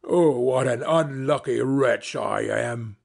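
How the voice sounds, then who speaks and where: A man's voice: on the high side, slow pace, vocal fry, full character voice. A man playing a brutish male carter in a storybook, grumbling, scornful and self-pitying. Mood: wretched, lamenting. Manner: grumbling, lamenting.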